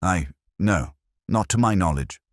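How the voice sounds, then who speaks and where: Man playing a hesitant, low-voiced church caretaker. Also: lively intonation, unhurried, dry recording, studio quality. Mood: flustered. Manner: hesitant, flustered.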